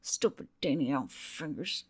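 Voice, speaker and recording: A woman's voice: low-pitched, animated, a little slow, husky, voice pushed down, heavily acted. A woman voicing a drunk, sarcastic, foul-mouthed female soldier in an audiobook, slurred and brash, with music behind her. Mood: sneering. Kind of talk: audiobook character acting.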